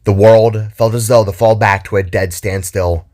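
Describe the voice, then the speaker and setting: Low-voiced man narrating a dramatic story. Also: steady tone, moderate pace, darkish tone.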